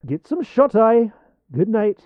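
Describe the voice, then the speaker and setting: Man playing cartoon duck miner, tough, blunt, boastful, raised pitch, very lively swings. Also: measured pace, no echo, lo-fi sound. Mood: brisk, bossy. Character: gruff, tough, proud cartoon duck prospector, young Scottish adventurer. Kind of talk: fan comic dub voice acting.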